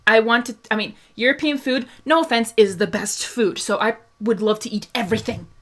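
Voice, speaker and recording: A woman's voice: fairly high pitch, a little fast, fairly bright tone. A young woman from Hungary hosting a casual fan discussion, chatting through viewer questions with lots of laughs, fillers and restarts. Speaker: host answering viewer questions.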